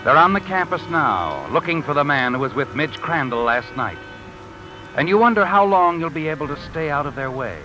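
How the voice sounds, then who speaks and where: Male narrator, vintage radio mystery: insinuating, ominous, animated pitch, music underneath. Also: normal speed, rough recording. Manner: insinuating, taunting second-person address. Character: ominous all-knowing male mystery narrator addressing the guilty. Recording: old radio broadcast with music.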